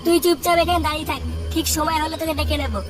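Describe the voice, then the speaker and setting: A woman's voice: high-pitched, steady tone, very fast, natural voice. A woman voicing a bossy, foul-mouthed young male leader of a gang of village friends in a comic cartoon, brash and scolding. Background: music.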